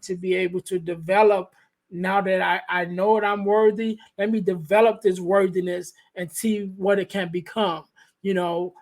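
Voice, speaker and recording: A man hosting a podcast interview, with a light voice, talking in a soft-spoken, halting way full of restarts. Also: high voice, little pitch movement. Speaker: podcast host. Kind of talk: conversational interview. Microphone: podcast microphone.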